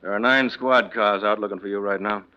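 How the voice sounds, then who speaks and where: An actor playing a blunt, accusing homicide lieutenant questioning a suspect in a 1940s radio mystery. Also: male voice, fairly low pitch, fairly even intonation, fairly quick, clean tone, lightly acted. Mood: stern, accusing. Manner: blunt, interrogating. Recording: dull vintage radio recording.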